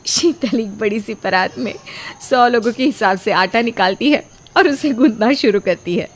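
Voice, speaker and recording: A woman's voice: fairly high pitch, normal speed. A woman storyteller reading scripted narration of a family tale.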